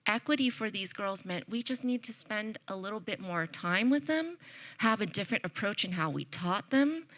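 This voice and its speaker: A middle-aged woman on a conference panel telling a passionate, animated story about coaching. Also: mid-range voice, normal speed.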